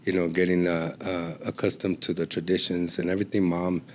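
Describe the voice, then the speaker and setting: A man's voice: low-pitched, level tone, fairly quick, slight background noise. A man, telling stories casually and conversationally as a podcast guest. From born in El Paso, raised in Juarez and Los Angeles. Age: 40s. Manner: conversational, peppered with "you know". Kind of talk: casual storytelling in an interview.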